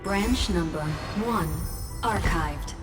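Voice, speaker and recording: A woman's voice: medium pitch, slow pace, natural voice. A woman voicing an impassive female computer system in a video game, making clipped, emotionless announcements. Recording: long hall reverb under music.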